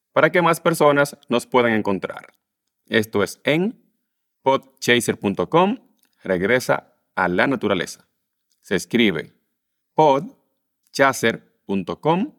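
A man with a Dominican accent, a guest co-host reading a friendly podcast call to action and spelling things out slowly. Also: mid-range voice, fairly bright tone. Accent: Dominican Spanish.

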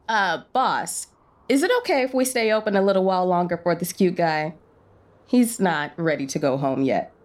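A woman playing a playful, friendly diner owner who teases breezily, in a romantic audio drama. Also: lowish voice. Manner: teasing, breezy banter.

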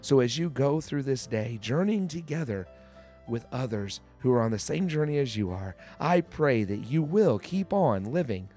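A man with a hoarse voice from a cold, hosting a devotional podcast in an earnest, encouraging way and often repeating his phrases. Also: medium pitch, lively intonation, normal speed, mellow, soft background music. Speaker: podcast host.

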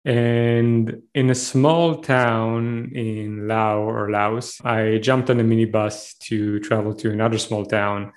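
A man's voice: lowish voice, little pitch movement, slow, few pauses. A man in his thirties from Israel with an Israeli accent, a startup CEO telling his story candidly in an interview. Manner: rambling, candid, self-aware storytelling.

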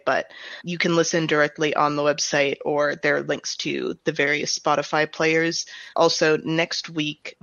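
A woman's voice: deep voice, gravelly, a bit bright, no echo. A woman giving casual, explanatory answers as an interview guest. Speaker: interview guest, audio drama director. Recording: dull, band-limited remote recording.

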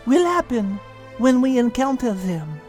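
A woman's voice: fairly high pitch, big pitch swings, measured pace, a little mellow, soft background music. A woman voicing a curious, refined female animal with a French accent, polite and precise.

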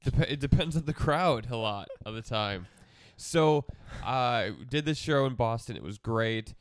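A man with a stuffy, nasal head cold talks casually on a podcast, rambling and often breaking off mid-sentence. His voice sits at a middle pitch and comes through smooth and close. The sound is dry, with no room echo. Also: measured pace. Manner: rambling, self-interrupting, laughs often. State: congested, nasal. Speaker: podcast co-host, comedian. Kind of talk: casual chat.

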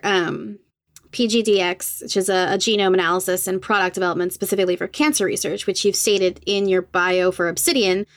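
A woman hosting a conversational podcast interview, speaking carefully and haltingly as she gets the details right. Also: medium pitch, no echo.

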